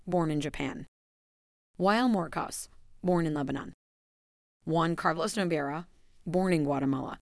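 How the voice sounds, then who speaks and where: A woman with a low, deep voice reads out a formal announcement. Her delivery is measured and even. The recording is dry, with no room sound. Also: creaky, dry recording. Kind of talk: scripted narration.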